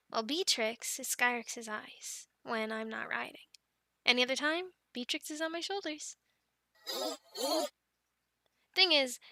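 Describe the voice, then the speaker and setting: A woman acting as a cheerful young female Viking dragon keeper in an audio roleplay. Her voice is high and bright, and she takes her time. She chats to the listener and coaxes her animals with tender affection. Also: no echo, exaggerated voice. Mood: tender, fond. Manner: chatty, affectionate coaxing and explaining. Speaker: amateur audio roleplay performer.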